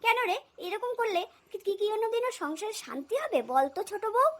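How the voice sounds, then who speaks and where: A woman's voice: very high voice, expressive, quick pace. A woman voicing a stern, exasperated older mother-in-law in a cartoon comedy, nagging with blunt sarcasm. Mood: scolding, exasperated. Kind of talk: cartoon family comedy dialogue.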